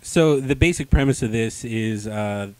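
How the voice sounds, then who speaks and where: A man's voice: medium pitch, unhurried, a little mellow, no room sound. A young man co-hosting a casual comic book review, speaking in an informal, slangy way into a handheld microphone. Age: young adult. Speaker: podcast host. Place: small indoor room.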